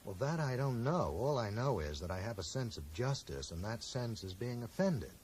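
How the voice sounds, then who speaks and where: A man's voice: medium pitch, big pitch swings, normal speed, a little mellow, natural voice. An actor playing an earnest, polite and persistent man who questions people tactfully in a radio drama. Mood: probing. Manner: tactful but probing. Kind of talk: 1980s radio drama acting. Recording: noisy 1980s radio broadcast recording.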